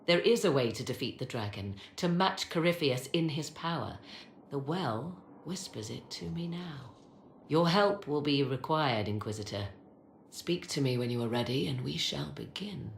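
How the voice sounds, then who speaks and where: A woman's voice: extremely low pitch, animated, normal speed, gravelly, a little hiss or hum, full character voice. An actress playing a proud, mysterious sorceress and mother in a fantasy game, speaking in formal, archaic, theatrical phrases. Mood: solemn, mysterious. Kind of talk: dramatic fantasy game acting. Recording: echoey, with music behind.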